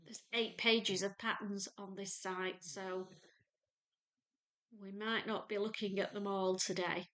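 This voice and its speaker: A woman in her fifties with a North Lancashire accent talks at an easy, unhurried pace, chatty and homely. Her pitch sits in a middle range. She speaks to a desk webcam in her home sewing room. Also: little pitch movement, fairly bright tone. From England. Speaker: sewing vlogger. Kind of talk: casual chat to camera and screen walkthrough.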